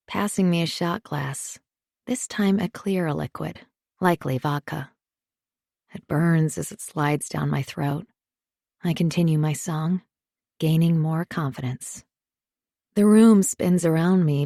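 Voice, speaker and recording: A woman reads a first-person thriller with a measured, confiding delivery. Her voice sits somewhat low and carries a gravelly edge. The recording is close and dry, with no room sound. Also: warm tone, no echo. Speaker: audiobook narrator. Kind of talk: first-person scripted narration.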